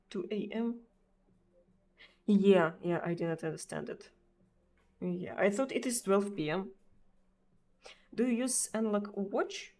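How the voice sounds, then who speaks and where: Woman streamer, russian accent, unhurried halting english, lots of uh's. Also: mid-range voice, a little mellow. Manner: hesitant, many fillers and repeats. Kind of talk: casual chat answering viewers.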